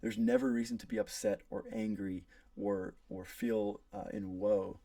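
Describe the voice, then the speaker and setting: A man's voice: fairly low pitch, flat delivery, moderate pace, gravelly, mellow, no echo, recorded from a distance. A young man from South Africa with an American accent, giving an earnest prepared class speech about his travels, with frequent fillers and restarts. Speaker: student.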